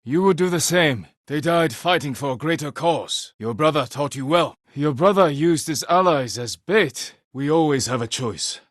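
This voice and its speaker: An actor voicing a solemn, resolute, honourable samurai in a video game, speaking in a terse, measured, serious way. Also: male voice, on the high side, animated, no echo, clean studio sound. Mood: tense, heated.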